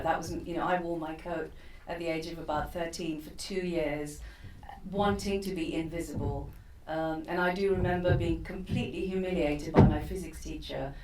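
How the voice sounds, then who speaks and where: 50s woman giving a keynote, low-ish voice, ums and restarts everywhere, far off mic in a roomy hall. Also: darkish tone. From East Africa. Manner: chatty, many fillers and restarts. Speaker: keynote speaker. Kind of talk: unscripted personal talk. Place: conference room. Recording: roomy, from a distant mic, faint noise.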